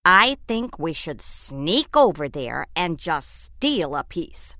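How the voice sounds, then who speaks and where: A woman voicing a sly, scheming male coyote trickster in a told story, loud and coaxing. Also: sits low, sing-song, takes it slowly, no echo, clean studio sound, pitched below the performer's own voice, full character voice.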